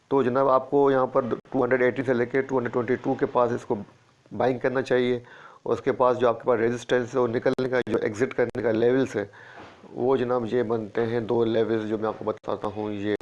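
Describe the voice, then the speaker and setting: Flat-voiced man with a sore throat. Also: mid-range voice, muffled recording. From Pakistan. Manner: steady, repeats price levels, calls listeners "janab". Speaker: stock market analyst. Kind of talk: screen-share chart walkthrough.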